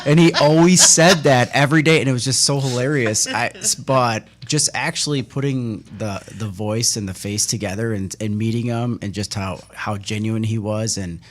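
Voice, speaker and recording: A man's voice: medium pitch, moderate pace, hardly any pauses. A man chatting casually on a local radio talk show, rambling with many fillers and restarts as he reminisces.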